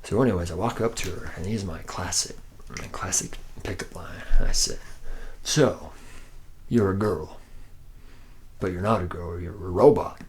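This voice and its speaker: A man's voice: sits low, moderate pace, pauses often, natural voice. A man playing a laid-back, boozy womanizing factory worker in an ASMR roleplay, talking in a casual, slangy ramble. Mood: boastful, amused.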